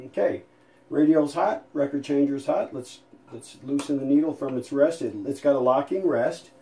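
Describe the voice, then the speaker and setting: A man casually narrating a hands-on demonstration as host, folksy and unhurried, thinking aloud as he goes. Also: mid-range voice, creaky, mellow. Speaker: video host and radio restorer.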